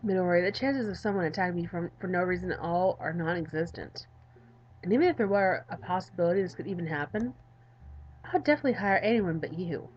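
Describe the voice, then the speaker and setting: A woman's voice: lowish voice, animated, fast, muffled recording, slight background noise, voice pushed down, natural voice. A woman voicing an exasperated male game developer, curt and sarcastic, with a dry, weary delivery. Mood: dismissive.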